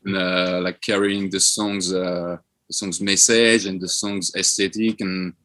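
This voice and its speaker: A man chatting informally as an interview guest, thinking aloud in a halting way. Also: low-pitched, flat delivery, fairly bright tone. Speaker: band member, podcast guest. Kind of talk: casual interview chat.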